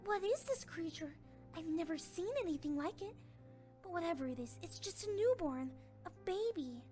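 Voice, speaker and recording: A woman voicing a gentle, motherly pegasus pony mare in a storybook reading, timid, tender and fretful. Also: very high voice, lively intonation, moderate pace, soft background music, pitched above the performer's own voice. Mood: worried wonder. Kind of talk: storybook character voice in fan fiction reading.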